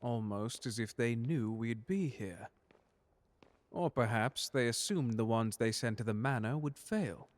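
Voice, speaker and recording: A man's voice: medium pitch, unhurried, natural voice. A man playing a suave, unflappable butler in an ASMR audio drama, speaking formally with dry courtesy and a protective streak. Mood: curious, watchful. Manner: formal, polite, dryly sardonic. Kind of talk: ASMR audio drama roleplay addressed to the listener.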